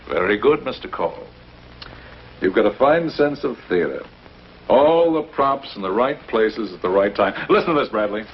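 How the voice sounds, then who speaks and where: A man's voice: medium pitch, big pitch swings, moderate pace, natural voice. A man playing a scoffing big-city newspaperman in an old 1950s television drama, sarcastic and mocking. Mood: mock theatrical. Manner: sarcastic, mocking, theatrical when reading aloud. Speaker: actor in a TV drama. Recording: dull, noisy old TV soundtrack.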